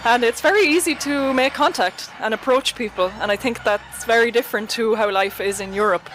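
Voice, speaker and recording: A woman's voice: fairly high pitch, brisk, fairly bright tone, a little hiss or hum, poor audio. A woman with an Irish accent, answering interview questions in a direct, confident way. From Ireland. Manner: direct, confident, advice-giving. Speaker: guest.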